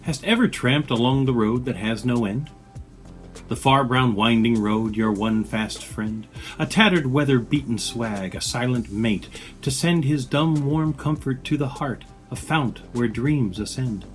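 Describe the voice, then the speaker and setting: A man giving a dramatic poetry reading with measured, expressive phrasing. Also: mid-range voice, soft background music. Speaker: poetry reader.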